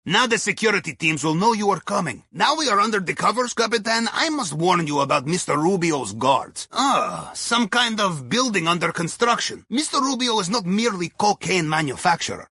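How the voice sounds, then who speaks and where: Chatty Russian submarine helmsman, video game heist guide, Russian accent, bright forceful tone, dry close sound, wry and conspiratorial. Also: high-pitched, animated, normal speed, hardly any pauses, breathy edge, no echo, heavily acted. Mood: conspiratorial warning. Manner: wry, conspiratorial, with foreign phrasing. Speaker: mission guide over the radio.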